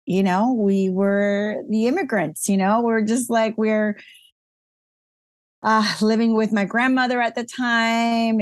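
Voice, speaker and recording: A woman's voice: mid-range voice, dry recording, studio quality. A middle-aged woman from Colombia, a guest in a casual interview, talking in a reflective, emotional way and laughing often.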